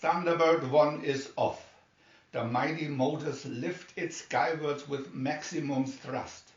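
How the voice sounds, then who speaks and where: A man with a fairly high voice narrates a scripted story in dramatic fashion. The recording has strong room echo and sounds a little dull and distant. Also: fairly even intonation, takes it slowly. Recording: muffled, echoey room, distant mic.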